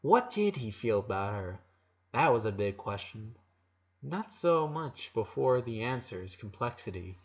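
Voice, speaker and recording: A man's voice: medium pitch, very animated, unhurried, breathy edge, highs cut off. A man reading a dramatic story aloud as narrator, emotive, with occasional stumbles.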